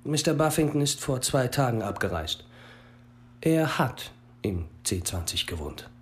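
An actor playing a businesslike hotel receptionist in a radio drama. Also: male voice, medium pitch, big pitch swings, measured pace. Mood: matter-of-fact.

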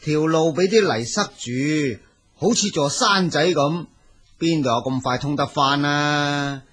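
An actor playing a worried, complaining monk who likes to persuade, in a Cantonese audio drama. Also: male voice, fairly high pitch, measured pace, a bit bright, husky. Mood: grumbling. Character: grumbling, worried monk who doubts and schemes.

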